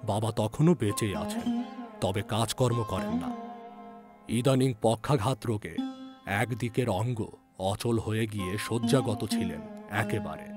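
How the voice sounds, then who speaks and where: A solemn old village healer acted by a man tells his tale in an audio ghost story. He speaks slowly and deliberately, grave and a little touchy, over clear background music. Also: lowish voice. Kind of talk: dramatized ghost story narration.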